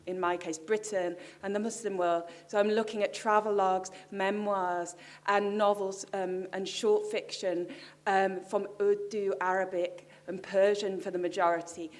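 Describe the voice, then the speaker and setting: A woman's voice: medium pitch, little pitch movement, normal speed. Earnest woman academic on a panel. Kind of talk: panel discussion. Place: large festival stage.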